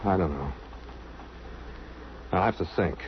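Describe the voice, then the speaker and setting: An actor playing a grave, troubled ship's captain who also narrates, in old-time radio drama style, clipped and earnest. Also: male voice, low voice, a little slow, slight background noise. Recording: muffled old radio recording with music.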